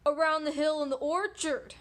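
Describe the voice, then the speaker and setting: A woman's voice: high voice, moderate pace, voice pushed up, close to an everyday voice. A woman voicing a sly, scheming storybook wolf who coaxes and calls out invitations. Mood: coaxing. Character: sly, scheming big bad wolf out to trick.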